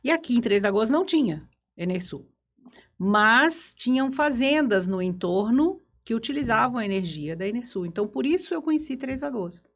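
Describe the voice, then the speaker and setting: A woman's voice: a little high, lively intonation, moderate pace. An older woman from Minas Gerais with a Brazilian accent, telling stories from her life casually as a guest. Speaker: podcast guest. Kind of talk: casual storytelling about own life.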